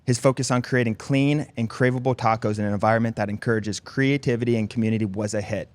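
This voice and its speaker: A man hosting a podcast interview, reading a short intro and then asking brief, relaxed questions. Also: lowish voice, fast talker. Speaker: podcast host.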